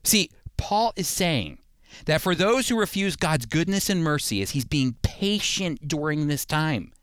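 Male radio preacher, slightly higher register, conversational but earnest delivery, stresses key phrases, very dry close-mic sound. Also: animated, measured pace, a bit bright, clear, resonant tone, no room sound. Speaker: radio Bible teacher and program host. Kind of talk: conversational radio sermon with scripture reading. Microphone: close studio radio mic.

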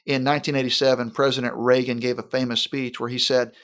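A man speaks as a pastor in a conversation, in a mid-range voice. He talks in an earnest, deliberate way and often slows down to stress a point. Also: mid-range voice, level tone, fairly bright tone. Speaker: senior pastor. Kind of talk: conversational interview.

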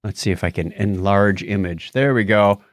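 A man's voice: lowish voice, moderate pace, no echo, studio quality. A man hosting a podcast in a casual, chatty style, bantering and joking as he goes. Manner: jokey, chatty. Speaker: podcast host. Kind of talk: casual news and birthdays banter.

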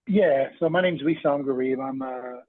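A man's voice: medium pitch, normal speed, no echo. Middle-aged guy chatting as a guest doctor, tinny narrow sound, very dry. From Lebanon and West Virginia. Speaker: interview guest, interventional cardiologist. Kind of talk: casual explanatory talk. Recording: thin phone-like band, dry.

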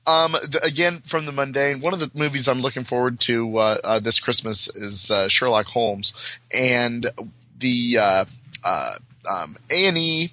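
Bright-voiced man in casual podcast chat. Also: medium pitch, measured pace, no echo. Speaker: guest panelist on a podcast. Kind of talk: casual, rambling panel chat.

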